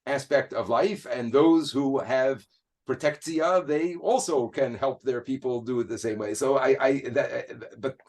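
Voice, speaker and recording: A man of middle pitch talking as a guest in a relaxed interview. He speaks earnestly and unpolished, stumbling over words and restarting his thoughts as he explains. His delivery sounds like a real conversation rather than a prepared speech. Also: a little slow. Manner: hesitant, many restarts and fillers, earnest.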